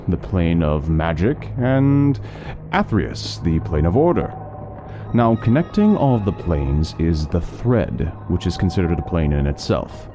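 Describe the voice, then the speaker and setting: A man playing a chatty scholarly doctor. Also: low voice. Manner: talkative, lecturing, fond of lore. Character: scholarly male doctor, middle-aged, chatty lore lover. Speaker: voice actor. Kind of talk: interactive fantasy audio story.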